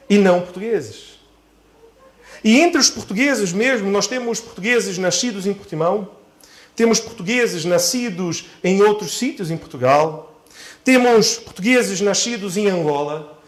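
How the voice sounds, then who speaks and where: High-pitched man preaching a sermon. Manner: measured, deliberate phrasing with emphatic repetition. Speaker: pastor preaching. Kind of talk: sermon to a church congregation.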